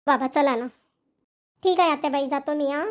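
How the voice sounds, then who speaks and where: A woman as high-voiced, caring young wife. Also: normal speed, natural voice. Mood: obliging. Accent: Varhadi Marathi, Vidarbha. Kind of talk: cartoon family comedy dialogue.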